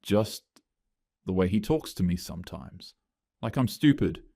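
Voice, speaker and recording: A man's voice: low voice, animated, measured pace, gravelly, dark tone, no room sound, clean studio sound, full character voice. A man reading the lines of an upset young female office employee, hesitant and aggrieved.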